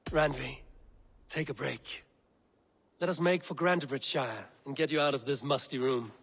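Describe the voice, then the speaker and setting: An actor playing a steady, friendly Viking warrior leader in a video game, measured and dryly teasing. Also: male voice, medium pitch. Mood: easy, inviting. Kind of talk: video game dramatic acting.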